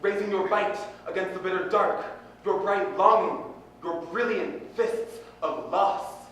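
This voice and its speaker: A man performing spoken-word poetry with impassioned, deliberate, earnest phrasing. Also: high voice, fairly bright tone. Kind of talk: slam poetry recitation, dramatic and earnest. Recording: very echoey room, distant mic, faint noise.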